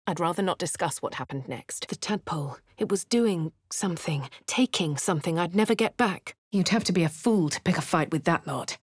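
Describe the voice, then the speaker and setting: A posh English heroine. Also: female voice, fairly low pitch, expressive, brisk, few pauses, slightly rough, dry recording, clean studio sound. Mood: brooding. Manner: cycles between quiet inner narration, hushed whispers and shouted spell incantations. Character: posh young female adventurer narrating her thoughts. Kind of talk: game voice lines.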